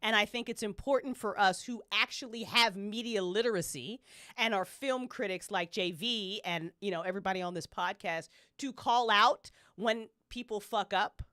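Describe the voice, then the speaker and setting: A woman's voice: medium pitch, normal speed, bright, forceful tone, dry recording, studio quality. A woman on a podcast panel, chatting casually and opinionatedly as a fan, with emphatic, slangy, swear-heavy delivery.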